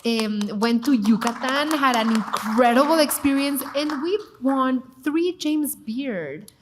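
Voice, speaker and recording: A woman with a Mexican accent talks with enthusiasm and emphasis. Her voice sits fairly high. She speaks as a guest in a panel conversation. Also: a little slow, background noise. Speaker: TV cooking show host, guest.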